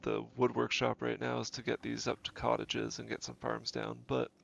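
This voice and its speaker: A man's voice: mid-range voice, completely flat delivery, moderate pace, creaky, a bit bright. A man, a game streamer with a stuffy nose from a cold, thinking aloud and correcting himself in easygoing, casual gameplay commentary. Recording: dull lo-fi stream audio. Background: faint game music.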